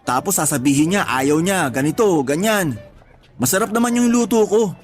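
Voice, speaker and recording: An actor playing a doting, put-upon young tricycle driver in a Tagalog radio drama, pleading and exasperated. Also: male voice, high voice, expressive, normal speed.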